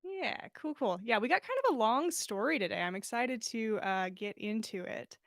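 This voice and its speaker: A woman's voice: fairly high pitch, lively intonation, moderate pace, dry recording, clean studio sound. A woman hosting a livestream, chatting casually and reading fan fiction aloud in a bubbly, self-deprecating way. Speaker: podcast host and story reader.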